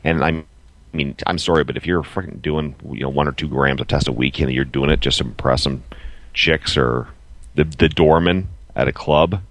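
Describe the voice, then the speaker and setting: A man in his forties co-hosting a podcast, chatting casually and emphatically in a halting, repetitive way. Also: extremely low pitch, a bit bright, no echo. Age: 40s. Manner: halting, emphatic, repeats himself. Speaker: podcast co-host. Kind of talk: casual opinionated chat.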